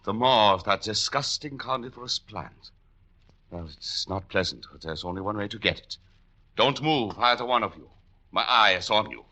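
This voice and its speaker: An actor playing a cold, menacing doctor in a 1940s radio drama, speaking formally, precisely and imperiously. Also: male voice, on the low side, normal speed, bright, forceful tone. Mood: tense, gloating. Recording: noisy, clicking 1940s radio recording.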